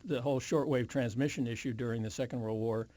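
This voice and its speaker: An older man answers interview questions reflectively. His voice is warm and mid-pitched, and he picks his words with care in a very dry recording. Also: steady tone, no echo. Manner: measured, careful word choice. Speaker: interview guest.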